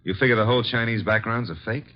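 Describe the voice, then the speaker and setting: An actor playing a wry, slangy detective's assistant in an old radio mystery. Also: male voice, mid-range voice, fast, lightly acted. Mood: wry, businesslike. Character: brisk, wisecracking male detective's assistant. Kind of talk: 1940s-50s radio detective drama acting. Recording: dull old radio broadcast recording.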